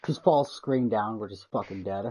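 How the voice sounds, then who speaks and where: A man's voice: mid-range voice, big pitch swings, slow, warm tone, lo-fi sound. A man streaming a game, chatting casually with a friend. He gives short, laid-back replies and swears casually.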